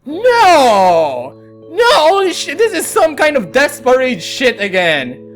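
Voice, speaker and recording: A woman giving casual, excitable, chatty reaction commentary as the host of a gaming video. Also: high voice, slow, soft background music.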